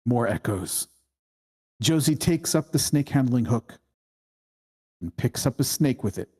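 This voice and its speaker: A narrator reading screenplay stage directions at a table read in measured, deliberate short phrases. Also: medium pitch, expressive, darkish tone, breathy edge. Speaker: screenplay reader.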